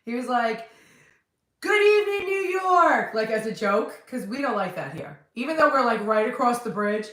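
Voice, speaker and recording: A woman's voice: on the high side, lively intonation, normal speed, fairly bright tone, distant mic. Chatty New Jersey woman, echoey room. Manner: chatty, blunt, rambling. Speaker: podcast co-host. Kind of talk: casual chat.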